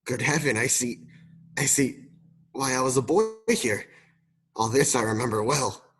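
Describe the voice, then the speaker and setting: A man playing an old miser in a radio play, husky and hesitant, with a dramatic delivery. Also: mid-range voice, moderate pace, fairly bright tone, lightly acted. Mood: awed, fearful. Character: grumpy, fearful old miser softening into wistfulness.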